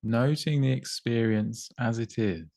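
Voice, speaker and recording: A man guiding a body scan meditation in an unhurried, gently instructive way, with long pauses between short phrases. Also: medium pitch, gravelly, dry recording. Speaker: meditation guide.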